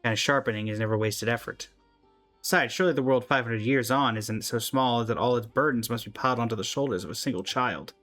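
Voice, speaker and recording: A man reading aloud the lines of an ancient, gentle, regretful woman guardian in a video game, in a calm, measured way. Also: medium pitch, soft background music, natural voice. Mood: solemn.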